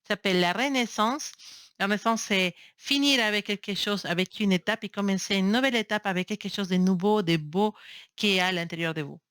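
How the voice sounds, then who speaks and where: Female tarot reader, non-native speaker, halting and searching for words, dry close sound. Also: mid-range voice, a bit bright, smooth, dry recording. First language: not French. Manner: affectionate, encouraging. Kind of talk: casual tarot reading to camera. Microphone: built-in camera mic.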